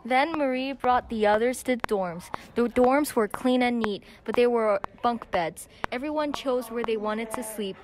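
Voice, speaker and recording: A woman's voice: fairly high pitch, normal speed, few pauses. A young teenage girl reading scripted narration for a school audio drama. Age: young teen. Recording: clicky amateur recording with background noise.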